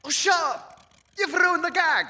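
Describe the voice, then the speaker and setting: A man's voice: very high-pitched, lively intonation, a little slow, sharp tone, exaggerated voice. A man playing an irritable villain who barks orders at his minions in a comedy audio drama. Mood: irritated.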